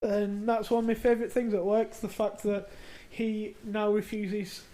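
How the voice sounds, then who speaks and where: This is a podcaster telling workplace stories with animated energy. The speaker swears freely and talks casually. The pitch sits in the middle range. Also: moderate pace, rough recording. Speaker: podcast co-host. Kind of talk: casual chat, anecdote telling.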